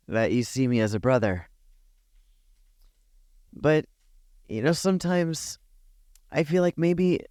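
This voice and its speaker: A man playing a tender, nervous best friend confessing a crush, speaking softly, hesitantly and earnestly in an intimate ASMR roleplay. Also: a little high, no room sound, studio quality. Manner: soft-spoken, hesitant, earnest.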